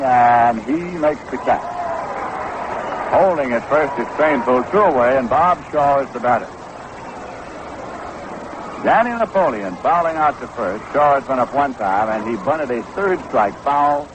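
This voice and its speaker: Noisy vintage radio baseball announcer, American man. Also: medium pitch. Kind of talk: 1960s radio sports commentary.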